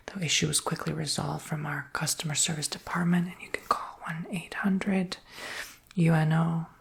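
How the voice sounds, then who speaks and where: An American man performs an improvised ASMR sales roleplay in a whisper. His voice is extremely breathy and husky, with heavy crackle in it. He explains things softly, in an unhurried and reassuring way. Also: a little high.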